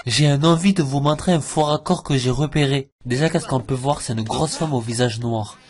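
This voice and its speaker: A man's voice: medium pitch, moderate pace, very noisy. A man hosting an informal cartoon review, with a jokey, mocking and slangy way of talking. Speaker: video host. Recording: voice-over with music behind. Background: music.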